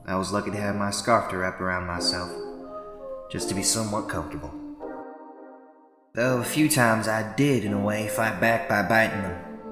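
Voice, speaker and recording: A man's voice: fairly low pitch, reverberant, big-room sound, lightly acted. A man voicing an indignant young boy bunny in an amateur cartoon dub, with a folksy, sassy drawl. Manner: folksy, sassy, scolding drawl with y'all and darn. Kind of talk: scripted amateur comic dub dialogue.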